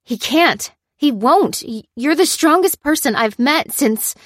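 A woman's voice: medium pitch, animated, normal speed, dry recording, clean studio sound, pitched above the performer's own voice, lightly acted. A woman voicing an anguished, determined female researcher in an audiobook, breathless and pleading. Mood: insistent. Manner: breathless, urgent, insistent.

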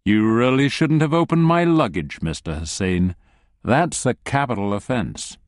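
A man voicing a calm, cruel male thug who speaks in a soft, unhurried, mocking way. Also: medium pitch, dry recording, studio quality, lightly acted. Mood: quiet menace.

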